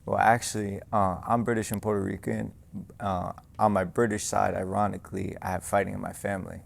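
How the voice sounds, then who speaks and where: Young new boxer guy, deep gravelly voice, pretty flat, lots of "you know". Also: a little fast. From New York. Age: young adult. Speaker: guest, amateur boxer. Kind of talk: casual interview. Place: boxing gym.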